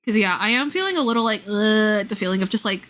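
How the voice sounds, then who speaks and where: Bright-voiced woman streamer thinking aloud. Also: medium pitch, lively intonation, fast. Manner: informal, meandering, thinking aloud. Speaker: game streamer. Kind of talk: casual livestream chat and game commentary. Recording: music playing behind the voice. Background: background music.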